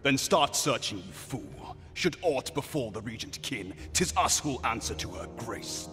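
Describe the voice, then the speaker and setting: A scolding palace guard officer barks curt orders in a fantasy game. The voice is tense and forceful, swinging widely in pitch. It echoes through a long hall with music underneath. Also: male voice, very animated, unhurried. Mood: irritated, urgent. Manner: curt, commanding. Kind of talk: scripted fantasy game acting.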